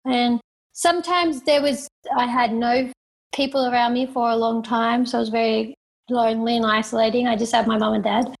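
Young Australian woman, fairly high voice, plain unpolished delivery, interview guest. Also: steady tone, normal speed, vocal fry, low-quality recording. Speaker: guest, professional fighter. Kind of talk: interview answers.

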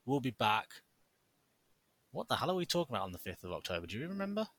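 A man's voice: mid-range voice, big pitch swings, fast talker, a bit bright, no echo. A man co-hosting a podcast, theatrical, jokey and sarcastic in casual chat. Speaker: podcast co-host.

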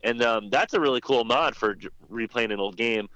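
A man chatting casually as a podcast panelist about video games, rambling and haltingly rephrasing himself. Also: medium pitch, fairly bright tone, no room sound. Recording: dry, dull lo-fi audio.

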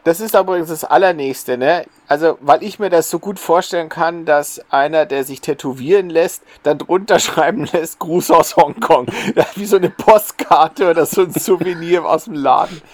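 A man's voice: a little high, normal speed, hardly any pauses. A man co-hosting a podcast in casual, joking conversation. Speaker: podcast co-host.

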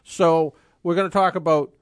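A man in his sixties hosts a car show in a casual, rambling way, often restarting his sentences. His voice sits a little high for a man. The sound is dry and close, with no room around it. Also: fairly even intonation, normal speed, clear, resonant tone, no room sound. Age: 60s. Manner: chatty, rambling with frequent restarts. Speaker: radio car show host. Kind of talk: casual radio talk.

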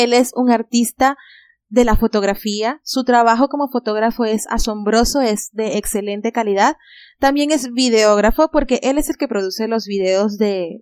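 A woman's voice: on the high side, a little mellow, clean tone, no room sound. A woman hosting an interview, introducing her guest with admiring words and frequent fillers. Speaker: host. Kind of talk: interview podcast.

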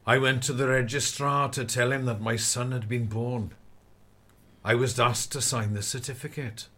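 A man's voice: medium pitch, fairly bright tone. A man playing a humble, plain-spoken farm labourer and father in a radio play. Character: Tommy's father, humble, hard-working rural farm labourer.

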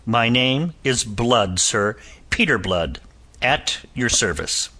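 A calm, sardonic physician answers with measured, cutting wit, as a male narrator puts him on. His lilt sits lightly on a bright, clear voice. He speaks slowly and keeps his composure. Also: medium pitch, exaggerated voice. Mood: coolly mocking. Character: cool, sardonic Irish physician and ex-soldier, adult man.

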